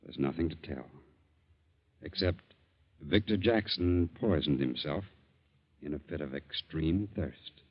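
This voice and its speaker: A muffled 1940s radio drama recording. a shrewd male doctor with a very low voice. He is calm and deliberate, speaking with kindly, matter-of-fact authority. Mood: calm, knowing.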